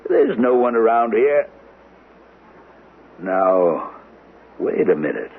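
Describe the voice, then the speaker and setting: Low-voiced, expressive radio-drama confidant. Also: male voice, slow, full character voice. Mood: skeptical, pondering. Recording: tinny band-limited radio recording with hiss.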